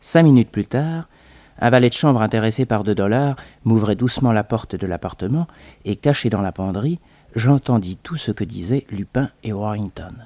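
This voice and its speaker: A man's voice: lowish voice, animated, normal speed, dark tone, no echo. A man playing a detective's loyal assistant who narrates a 1960s French radio serial. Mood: matter-of-fact. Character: detective's loyal male assistant, recounting the case briskly.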